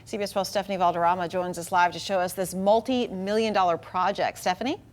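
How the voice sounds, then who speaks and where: A woman's voice: fairly low pitch, steady tone, quick pace. A woman working as a TV news anchor, reading scripted stories in a studio.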